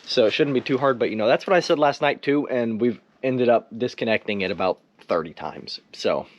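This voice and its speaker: A man's voice: medium pitch, brisk, dry recording, muffled recording. A teenage man chatting casually and goofily to viewers as he works on a truck, joking at his own expense. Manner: goofy, self-joking, mimics sounds. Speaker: vlogger restoring an old truck. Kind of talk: casual vlog chat while working. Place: in and around a truck. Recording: clearly noisy background with clicks.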